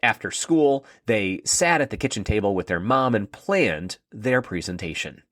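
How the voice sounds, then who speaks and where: A man narrating a scripted story for children in an expressive read-aloud style. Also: lowish voice, normal speed, no room sound, studio quality.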